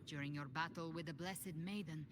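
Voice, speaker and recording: A woman playing a brooding, defiant monster-hunting avenger in a video game, speaking in a deliberate, grave and cryptic way. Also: sits low, background noise, close to an everyday voice. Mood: grave, measured. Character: brooding, defiant female avenger who hunts dark monsters. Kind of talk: dramatic scripted game dialogue. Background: prominent background music.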